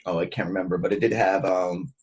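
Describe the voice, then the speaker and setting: A man's voice: sits low, steady tone, fast, darkish tone. A man hosting a podcast in a casual, chatty style. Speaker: podcast host. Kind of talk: casual chat.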